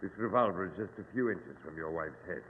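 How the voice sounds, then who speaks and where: An actor playing a cold, haughty pirate villain in a 1940s radio drama, speaking with mock-gentle, pompous threat. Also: male voice, sits low, expressive, fast. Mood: cold menace. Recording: old radio recording, narrow, noisy, reverberant.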